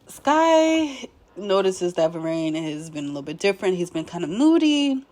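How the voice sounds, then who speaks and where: A young woman, hoarse and a bit nasal while recovering from illness, casually recapping a show episode in a laid-back way, clearing her throat now and then. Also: mid-range voice, sing-song, moderate pace, a little mellow. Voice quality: slightly nasal. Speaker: show commentator.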